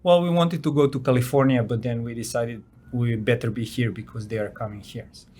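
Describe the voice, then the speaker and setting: A man's voice: medium pitch, steady tone, a little fast, dark tone. Man, hesitant founder. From Serbia. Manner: plain, hesitant, with many fillers. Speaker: company founder. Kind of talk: interview guest.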